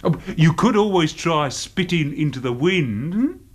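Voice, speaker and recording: A man's voice: a little high, animated, takes it slowly, slightly rough, exaggerated voice. A male actor with a British accent playing a sneering, bullying lout who taunts in slangy tag questions in a radio drama. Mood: gloating, taunting. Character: sneering, bullying, hard-drinking male lout, cruelly mocking. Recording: noisy old radio drama recording.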